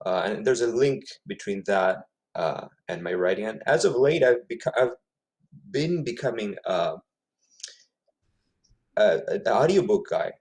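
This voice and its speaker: A man's voice: lowish voice, darkish tone. A man from Ecuador whose first language is Spanish, speaking as an author guest in a casual interview, thoughtful and often repeating himself as he finds his words.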